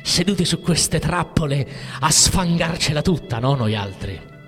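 A man's voice: a little high, animated, measured pace. An actor playing a bitter, cynical everyman in a declamatory theatrical monologue with music. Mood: angry, bitter. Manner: declamatory, swinging from muttering to shouting. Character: bitter, ranting, cynical everyman raging at the bosses. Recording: long reverberant hall, music behind.

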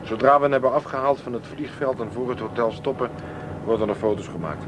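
An actor playing an easygoing, practical aide in a radio drama, matter-of-fact, informal and wry. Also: male voice, mid-range voice, quick pace, close to an everyday voice. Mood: wry, relaxed. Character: easygoing, practical male aide to his boss. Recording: noisy old radio drama recording.

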